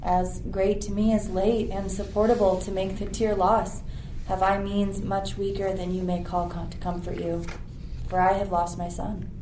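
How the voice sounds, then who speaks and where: A woman plays a commanding exiled duchess in a Shakespeare radio play. Her mid-pitched voice is formal, deliberate and declamatory. Faint ambient noise sits behind the recording. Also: level tone, warm tone, close to an everyday voice. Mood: sorrowful. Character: Prospera, commanding exiled duchess and magician, a mother. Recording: noisy recording with ambient sound texture.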